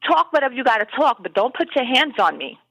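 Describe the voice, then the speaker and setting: A woman's voice: sits high, sing-song, fast, a bit bright, no room sound, highs cut off. A woman calling into a talk show over the phone, giving her opinion in an emphatic, conversational way and repeating her key points. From United States. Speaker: caller. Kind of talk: call-in opinion.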